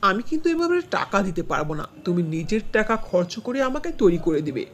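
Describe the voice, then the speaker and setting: Woman acting lively, businesslike male shop owner. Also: medium pitch, fairly quick, slight background noise, natural voice. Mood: firm, businesslike. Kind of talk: Bengali fairy-tale cartoon dubbing.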